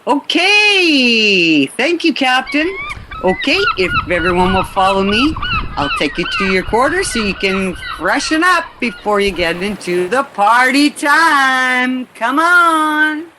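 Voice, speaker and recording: A woman's voice: high voice, sing-song, takes it slowly, sharp tone, exaggerated voice. A woman playing a perky, helpful cruise hostess in a radio play. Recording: faint background noise.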